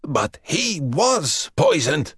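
A frantic, desperate man rasps in a breathy, strained voice, as a male narrator plays him. His pitch sits higher than the narrator's own. The recording is dry and close. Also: sing-song, extremely slow, a bit bright, no room sound, heavily acted. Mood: panicked. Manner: rasping, frantic. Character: desperate man who fears he was poisoned.

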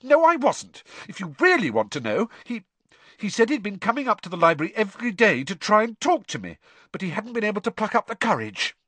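Man plays indignant schoolgirl for audiobook: bossy, shrill, emphatic, pitched way up. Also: sing-song, moderate pace, few pauses, a bit bright, no echo, heavily acted. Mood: flustered.

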